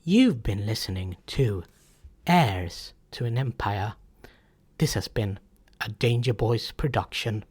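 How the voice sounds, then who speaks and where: An announcer reads scripted end credits. The pace is slow and the pitch sits in the middle. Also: expressive, darkish tone, husky, faint music behind.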